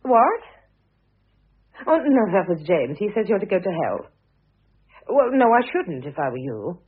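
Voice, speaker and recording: Over a thin, phone-like old radio recording comes a dignified middle-aged British mother, weary but sharp-tongued. Her voice swings expressively and has a slightly husky grain, in the manner of a vintage radio play. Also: mid-range voice, big pitch swings, measured pace.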